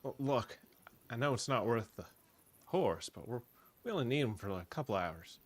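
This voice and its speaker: Man acting an easygoing frontier fellow, mid-pitched. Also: animated, normal speed, close to an everyday voice. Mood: casual, persuading. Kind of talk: amateur radio play acting.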